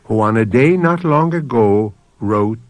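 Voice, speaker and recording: A man hosting a radio mystery drama, introducing the story in a deliberate, scripted storytelling manner. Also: mid-range voice, expressive.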